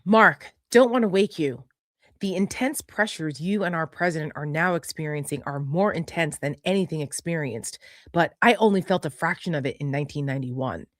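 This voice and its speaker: A woman's voice: low voice, normal speed, dry recording, studio quality. A woman co-hosting a political talk, reading messages aloud and adding conversational commentary.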